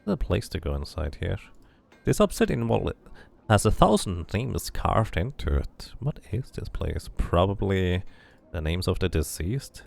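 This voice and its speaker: A man streaming a game, mixing casual commentary with expressive read-aloud narration. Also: sits low, a little slow, vocal fry, faint music behind.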